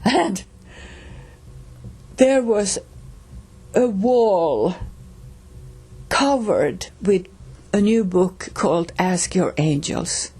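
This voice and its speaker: A middle-aged woman with a Finnish accent, telling a personal story slowly, with pauses and restarts. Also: mid-range voice, expressive, dark tone, slightly rough. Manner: slow, halting, with pauses and restarts. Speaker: reader and storyteller.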